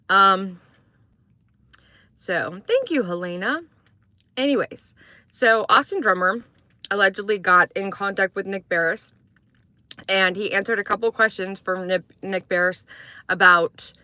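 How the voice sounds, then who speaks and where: Chatty young woman who grew up in Croatia, morning livestream host; bright voice, dry close recording. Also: mid-range voice, dry recording. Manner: chatty, rambling, reads and answers chat. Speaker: true crime livestream host. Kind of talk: casual morning livestream commentary.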